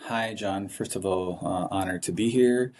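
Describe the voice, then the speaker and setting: A man from Ukraine with an Eastern European accent, speaking earnestly and haltingly as an interview guest. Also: deep voice, a little mellow. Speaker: podcast guest, investment fund partner. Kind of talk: casual interview talk.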